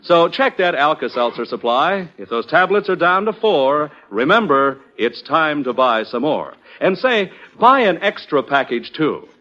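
A man's voice: fairly high pitch, big pitch swings, measured pace, hardly any pauses, bright, forceful tone, muffled recording. An American man announcing in 1940s radio advertising style, with hearty, folksy salesmanship, on a vintage recording.

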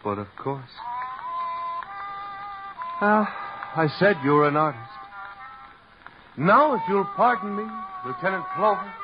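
A man's voice: sits high, big pitch swings, a little slow, pauses often. An actor playing a cool, mocking male killer who thinks himself an artist, in a vintage radio drama. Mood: mocking calm. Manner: smooth, mocking, coolly theatrical. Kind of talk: 1950s radio acting. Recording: dull vintage radio broadcast with music score.

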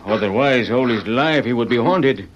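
Actor as grave Mexican patriarch with accented speech. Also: male voice, medium pitch, lively intonation, natural voice. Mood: firm, persuasive. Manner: measured, formal phrasing. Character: grave, decisive Mexican rancho father, middle-aged man. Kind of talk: old-time radio western acting. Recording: muffled, noisy vintage radio recording.

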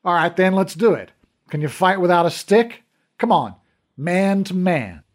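A brash, mocking police officer who speaks loudly and cockily, as a man plays him. His voice is raised and jumps around in pitch. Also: animated, unhurried. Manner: loud, cocky, teasing.

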